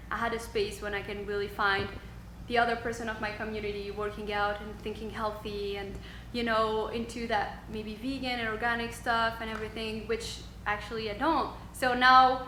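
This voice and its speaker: A young woman, her voice set a little high. She talks hesitantly, with plenty of ums, and her speech rings in a roomy echo over faint background noise. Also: a bit bright. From Switzerland. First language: French. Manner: hesitant, many ums, frequent laughs. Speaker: guest, yoga instructor. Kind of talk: casual interview.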